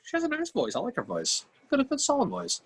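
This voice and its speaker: A man's voice: very high-pitched, huge pitch swings, quick pace, husky, no echo. A man with a New England accent bantering casually as a livestream co-host, rambling and repeating himself. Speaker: podcast co-host.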